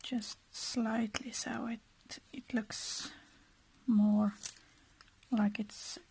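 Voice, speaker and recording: A woman with a Finland-Swedish accent talks softly through a craft project. Her voice is very breathy and husky, fairly high and mellow. She goes slowly and hesitantly, murmuring small asides as she works. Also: little pitch movement. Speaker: craft vlogger. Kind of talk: casual process narration while crafting.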